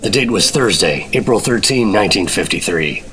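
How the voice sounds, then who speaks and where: An actor playing a terse, deadpan 1950s government secret agent in a noir radio drama. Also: male voice, lowish voice, moderate pace. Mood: cool, serious.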